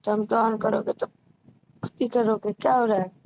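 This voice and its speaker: A woman in a casual private phone call, asking soft, everyday questions. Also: on the high side, little pitch movement, a little slow, gravelly. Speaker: caller.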